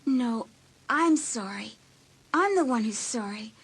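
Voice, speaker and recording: An actress playing a hurt, insecure, apologetic teenage girl in an audiobook drama, with an emotional, halting, pleading delivery. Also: fairly high pitch, animated, slightly rough, heavily acted. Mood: wounded, confessing.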